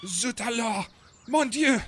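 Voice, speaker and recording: An actor playing an exasperated young painter in a comic radio play, excitable and full of complaint. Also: male voice, very high voice, takes it slowly, slight background noise, exaggerated voice.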